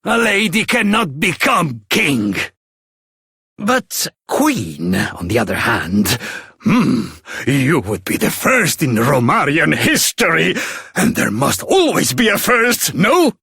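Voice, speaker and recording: A man's voice: fairly high pitch, wildly swooping pitch, takes it slowly, creaky, sharp tone, slightly rough, dry recording, studio quality, exaggerated voice. A man playing a pompous, exuberant king in a fantasy video game, with grandiose theatrical delivery. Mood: pondering.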